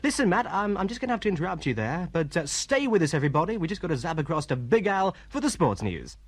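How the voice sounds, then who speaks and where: An actor playing a chirpy, glib local radio presenter who sounds scatty and patronising, in a radio drama. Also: male voice, sits high, sing-song, fast. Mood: breezy, gushing.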